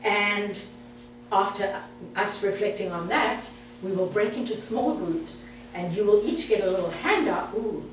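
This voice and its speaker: A woman's voice: mid-range voice, measured pace, a little hiss or hum. South African woman speaking in an echoey hall. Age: 60s. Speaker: guest speaker. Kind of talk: presentation to an audience. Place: meeting hall. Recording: echoey hall, mic far off.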